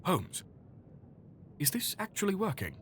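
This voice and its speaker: An actor in an audio drama playing a detective's sceptical doctor partner, weary and doubtful. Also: male voice, high-pitched, wildly swooping pitch, a little slow, a bit bright, slight background noise, exaggerated voice. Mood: doubtful, resigned.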